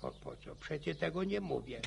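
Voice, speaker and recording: An actor playing an old village grandfather in a radio play, spinning boastful war yarns with a gravelly, stammering, chuckling voice. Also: on the high side, wildly swooping pitch, moderate pace, a little hiss or hum, full character voice. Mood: boastful reminiscing. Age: older. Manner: chuckling folksy yarn-spinning. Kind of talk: radio drama acting.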